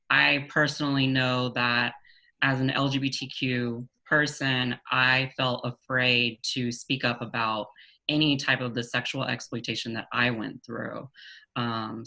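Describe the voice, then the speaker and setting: A young man from Texas speaking earnestly on a discussion panel, pausing often with fillers. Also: mid-range voice, gravelly, bright, forceful tone, rough recording. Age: young adult.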